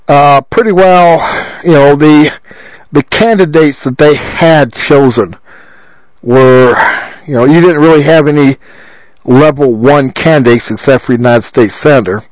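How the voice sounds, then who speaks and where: A man with a loud voice, a political candidate telling a long rambling story with many repeats and fillers. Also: mid-range voice, unhurried, no room sound, low-quality recording. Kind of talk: rambling monologue.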